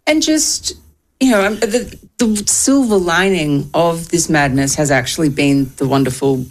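A woman in her thirties, a guest answering interview questions in a measured way, with wry asides and light laughs. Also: low voice, lively intonation. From Australia. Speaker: guest, app founder.

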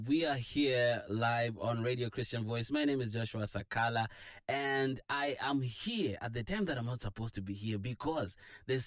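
Upbeat Zambian radio host, dry studio sound. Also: male voice, medium pitch, no room sound. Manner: upbeat, playful, affirming with frequent hums. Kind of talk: live radio interview.

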